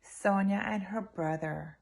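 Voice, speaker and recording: A woman reading a children's story aloud in an expressive, emphatic way, speaking directly to her young listeners. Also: mid-range voice, slow pace, vocal fry.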